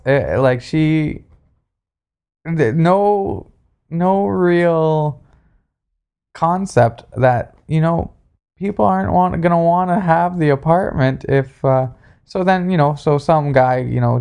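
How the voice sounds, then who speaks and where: A man telling a story casually as a podcast host, in a halting, sarcastic way, speaking close to a handheld recorder. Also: a little high, vocal fry, a little mellow, smooth. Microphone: handheld recorder, spoken into closely.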